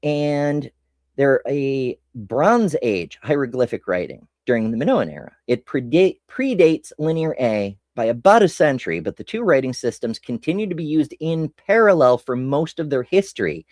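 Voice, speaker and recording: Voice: medium pitch, sing-song, normal speed, darkish tone, no room sound, studio quality. An American host giving casual history commentary, rambling and self-interrupting with casual swearing. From United States.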